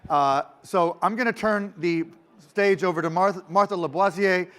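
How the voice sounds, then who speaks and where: A man moderating at a conference, introducing the next panel in a loud, joking, informal way and correcting himself as he goes. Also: sits high, normal speed, clear, resonant tone. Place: conference stage.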